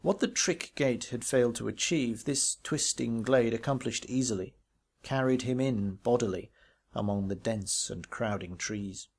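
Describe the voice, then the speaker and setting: A man reading a ghost story aloud as literary narration, in a measured, expressive way with varied emphasis. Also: mid-range voice, vocal fry, breathy edge. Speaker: audiobook reader.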